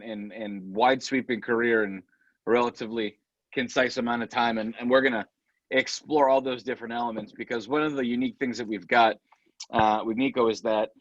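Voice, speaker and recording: A male professor hosts a webinar over a video call, speaking at a mid pitch. He is friendly and rambling, with plenty of fillers. The audio is slightly dull and dry, with no room sound. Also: fairly bright tone, dry recording. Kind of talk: webinar host interview.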